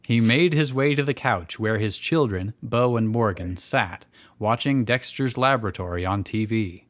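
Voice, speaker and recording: A man's voice: fairly low pitch, normal speed. A man reading fan fiction aloud as a show host, often breaking off to joke and comment in casual banter. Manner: joking, breaks off to comment on the text.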